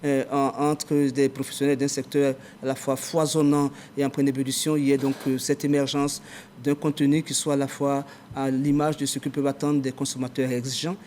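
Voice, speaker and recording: A man's voice: medium pitch, flat delivery, a little fast, a little mellow, slight background noise. A man, an adviser in a ministry, talking as a guest on a TV talk show in long, formal, official-sounding sentences.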